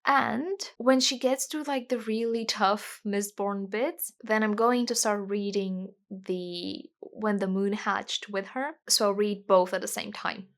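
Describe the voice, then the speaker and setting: A woman's voice: mid-range voice, fairly even intonation, slow, hardly any pauses. A woman from Bulgaria, ranting animatedly and emphatically as a casual book vlogger. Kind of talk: casual book review rant.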